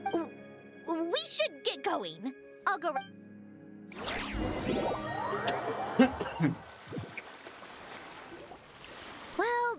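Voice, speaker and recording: An actress voicing a proud, sharp-witted young female inventor with a haughty, theatrical manner in an anime-style game. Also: high voice, full character voice. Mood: aloof. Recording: loud game music underneath.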